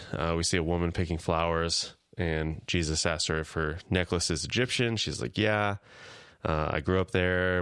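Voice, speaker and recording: A man co-hosting a podcast, chatting casually and retelling scenes with quick paraphrased lines of dialogue. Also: low-pitched, fairly bright tone, smooth, dry recording, clean studio sound. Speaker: podcast co-host. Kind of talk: casual chat.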